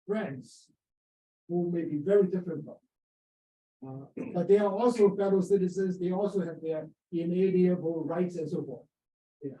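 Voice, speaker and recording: A man leads a panel of pastors in a slightly high, very dark and mellow voice. He pauses often and restarts his sentences. He sits far from the microphone in a meeting room with a roomy echo. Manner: hesitant, many fillers and restarts. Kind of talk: panel discussion remarks.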